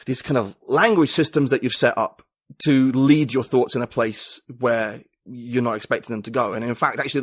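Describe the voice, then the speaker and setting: A man speaking as a podcast guest, musing and thinking out loud with plenty of fillers. His voice sits at an ordinary middle pitch. The recording is close and dry, with no room sound. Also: dry recording. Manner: reflective, thinking aloud with many fillers. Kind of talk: casual interview conversation.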